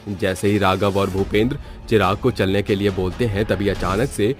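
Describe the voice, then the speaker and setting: A man's voice: fairly low pitch, fairly even intonation, normal speed. A man reading scripted horror story narration as the narrator. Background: music.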